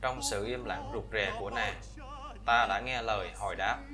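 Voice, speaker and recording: Man reading voice-over over loud music. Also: fairly low pitch, moderate pace. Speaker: voice-over translator reading all characters. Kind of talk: scripted voice-over dubbing of a fairy-tale cartoon. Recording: voice-over laid over loud film soundtrack. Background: operatic music from the film.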